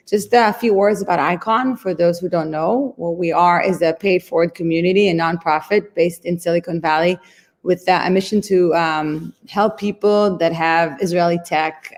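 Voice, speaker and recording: A woman's voice: lowish voice, normal speed. A woman opening a live online event as its founder and host, friendly with frequent ums, on a video call. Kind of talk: event welcome remarks.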